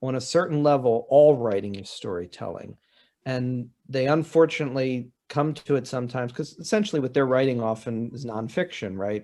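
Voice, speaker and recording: A man with a mid-pitched voice talks as a panelist in a conversational discussion. He explains things the way a writing teacher does, circling back to repeat his main point. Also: a little mellow.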